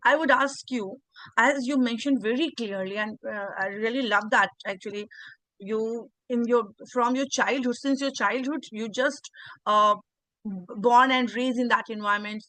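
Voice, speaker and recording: A woman's voice: fairly high pitch, moderate pace, bright, forceful tone, dry recording, low-quality recording. An English teacher with an Indian accent, a native Hindi speaker, talking earnestly in a video interview and often restarting her sentences. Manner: earnest, repeats words, frequent restarts. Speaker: English teacher and channel host.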